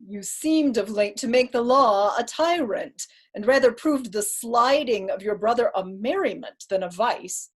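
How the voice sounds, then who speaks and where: Woman playing a cold scheming judge in shakespeare, husky voice, formal n probing, keeps pushing. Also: on the high side, animated, a little slow, hardly any pauses. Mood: challenging. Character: cold, calculating male deputy judge, coercive and insinuating. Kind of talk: Shakespearean staged reading.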